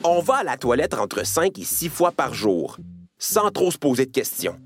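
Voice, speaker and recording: A man's voice: mid-range voice, sing-song, moderate pace, music in the background. A man narrating a scripted educational piece for children, playfully addressing the listener.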